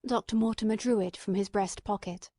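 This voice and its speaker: A female audiobook narrator reads classic fiction from a script. Her pitch sits in the middle range for a woman. The recording is dry, with hardly any room around the voice. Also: fairly even intonation, brisk, mellow, dry recording.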